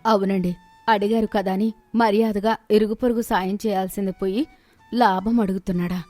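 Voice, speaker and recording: A woman's voice: medium pitch, steady tone, normal speed, a little mellow, no echo, faint music behind, natural voice. A woman voicing a caring, practical village mother, homely and concerned as she instructs her family.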